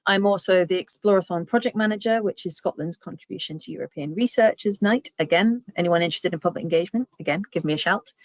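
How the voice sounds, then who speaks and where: A woman's voice: fairly low pitch, fast, few pauses, dry recording. A woman from southern England with a southern English accent, giving a chatty webinar talk with lots of ums over a video call. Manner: chatty, frequent ums, self-deprecating asides. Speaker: guest speaker, public engagement manager. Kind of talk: webinar presentation. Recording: dry, muffled video-call audio.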